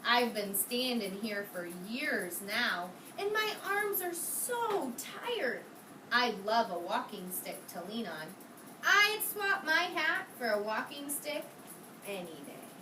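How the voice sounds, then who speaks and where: A woman voicing a weary, wistful storybook scarecrow with a slow, drawn-out delivery. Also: fairly high pitch, big pitch swings. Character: weary scarecrow longing for a walking stick. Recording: roomy, noisy classroom from a distance.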